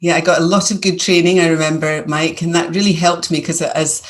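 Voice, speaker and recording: A woman's voice: fairly low pitch, flat delivery, a little fast. Scottish middle-aged businesswoman guest. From Scotland, near Glasgow. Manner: articulate, with some fillers and repeated words. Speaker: guest, company director. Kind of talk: interview conversation.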